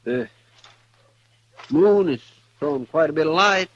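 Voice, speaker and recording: A man's voice: on the high side, expressive, unhurried, a bit bright, highs cut off. An actor playing an anxious, loyal frontier deputy with a worried, folksy drawl who stammers when he frets, in 1950s radio drama style.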